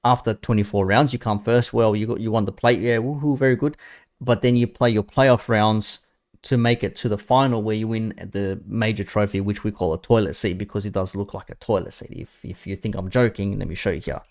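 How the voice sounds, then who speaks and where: A man's voice: lowish voice, steady tone, a little fast, darkish tone. A man, chatting casually and bluntly about football to his viewers. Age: 30s. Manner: blunt, sweary, mocking. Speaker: football streamer. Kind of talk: casual live chat.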